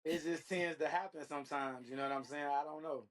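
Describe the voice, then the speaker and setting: Man with flat, slangy delivery. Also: fairly high pitch, fairly quick, over a noisy crowd. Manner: slangy, repeats check-in phrases. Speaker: interview guest, reality dating show contestant. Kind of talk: casual conversational interview answers.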